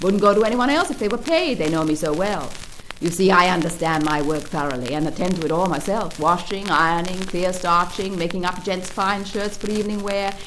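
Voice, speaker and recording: A woman's voice: on the low side, fairly quick, a little hiss or hum, close to an everyday voice, rough recording. A woman voicing a conceited male toad who poses as a plaintive old washerwoman in a storybook reading. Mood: airy, boastful. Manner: plaintive, wheedling, boastful. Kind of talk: audiobook character acting.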